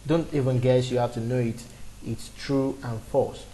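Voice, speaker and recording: A man's voice: mid-range voice, steady tone, moderate pace, dark tone, a little hiss or hum, rough recording. A man in his twenties teaching a beginner programming lesson off the cuff, talking through each step with fillers and restarts. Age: 20s. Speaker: programming tutor. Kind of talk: screen-recorded coding lesson.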